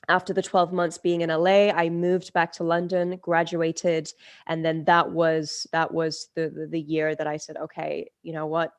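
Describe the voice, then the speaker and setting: Low, flat-voiced woman telling her story. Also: dry recording. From Turkey. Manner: reflective, many fillers and restarts. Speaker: guest, spiritual teacher. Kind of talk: podcast interview storytelling.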